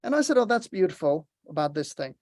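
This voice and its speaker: A man's voice: fairly high pitch, sing-song, quick pace, a little mellow, no room sound, clean studio sound. A man from Lebanon giving an online workshop talk, earnest and encouraging as he tells a story over a video call. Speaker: workshop presenter.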